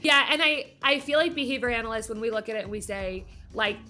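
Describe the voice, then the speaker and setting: A woman's voice: a little high, quick pace, sharp tone. A woman co-hosting a casual discussion, talking emphatically and repeating phrases to make her point. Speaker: podcast co-host, behavior analyst.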